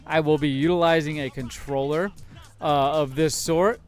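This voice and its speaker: A man's voice: fairly high pitch, fairly even intonation, moderate pace, darkish tone, faint music behind. A man hosting a live game stream in casual, informal chat with showy announcer-like moments. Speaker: livestream host.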